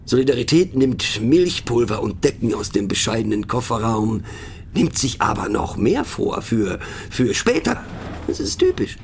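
A thoughtful, sardonic radio-play narrator with a gravelly, creaky voice of medium pitch. He muses aloud and puts rhetorical questions straight to the listener, slipping in conspiratorial asides. A faint noise sits behind the voice. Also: big pitch swings, normal speed. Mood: mock enthusiastic. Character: pensive, mocking male narrator musing on abstract words.

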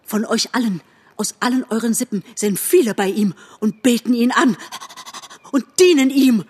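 Woman as a high, raging, devoted dog. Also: normal speed, darkish tone, slightly rough. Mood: fervent, defiant. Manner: howling, raging, fervent, exalted. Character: fierce male hunting dog, fanatically devoted to his master.

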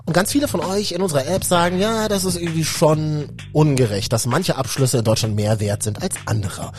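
A man's voice: fairly high pitch, brisk. A man from Berlin hosting a casual youth talk show, speaking colloquially and addressing viewers directly. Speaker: host.

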